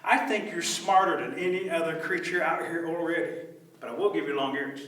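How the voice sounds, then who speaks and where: Man as a calm, commanding creator spirit. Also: on the high side, fairly quick, slight background noise, lightly acted. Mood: measured. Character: calm, authoritative creator of all things, gently commanding. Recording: echoey room, distant microphone.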